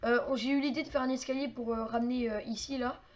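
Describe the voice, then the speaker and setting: A woman walks through a game build in a loose, casual way. Her voice sits a little high, and she keeps filling pauses with fillers and small clicking noises as she works. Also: fairly even intonation, lo-fi sound. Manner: chatty, many fillers and clicking sounds. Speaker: game tutorial maker. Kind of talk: casual game tutorial narration.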